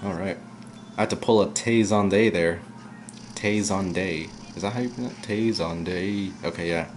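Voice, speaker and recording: Low-voiced young man rambling over gameplay. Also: normal speed, slight background noise. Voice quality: low, at times monotone. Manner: rambling, chatty, drifts off topic. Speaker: let's play gamer. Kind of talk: casual gameplay commentary. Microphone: camera aimed at the game screen.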